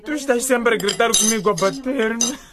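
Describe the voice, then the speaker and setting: A man's voice: very high voice, moderate pace, a crowd in the background. A man playing a sullen, resentful teenage son in a radio drama, defiant and sulky. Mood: aggrieved.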